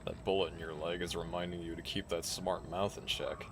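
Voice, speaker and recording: A man's voice: deep voice, level tone, fairly quick, close to an everyday voice. A man playing a cocky, menacing alpha werewolf and flirtatious rival in an audio drama, with a taunting, theatrical manner. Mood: teasing, flirtatious. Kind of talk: dramatic audio roleplay acting. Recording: clearly noisy background.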